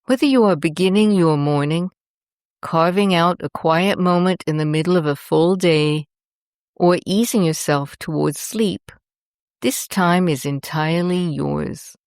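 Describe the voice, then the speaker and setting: Woman doing guided meditation, low soothing voice, really slow w long pauses between phrases. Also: darkish tone, smooth, dry recording, clean studio sound. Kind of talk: scripted guided meditation narration.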